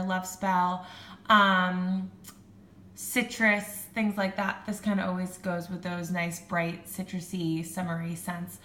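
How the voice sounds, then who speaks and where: A woman's voice: mid-range voice, a bit bright. A young woman in her twenties with a stuffed-up nose and a sore throat, chatting casually for her vlog, filling her talk with "like" and "um". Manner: chatty, lots of "like" and "um". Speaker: beauty vlogger. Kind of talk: casual chat. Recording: echoey room with faint hum.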